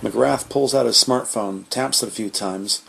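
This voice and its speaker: A man narrates an audio drama from a script, describing people and scenes. His voice sits at a medium pitch. There is faint ambient noise behind it. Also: measured pace, creaky, fairly bright tone.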